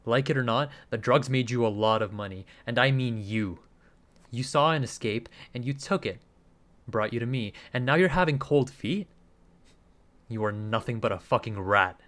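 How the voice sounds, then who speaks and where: A man's voice: mid-range voice, normal speed, fairly bright tone, close to an everyday voice. Radio drama role: hard-nosed male agent , played by a man, mid-range voice, scornful and accusatory, leaning on an informant under interrogation. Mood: contemptuous, menacing. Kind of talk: radio play acting.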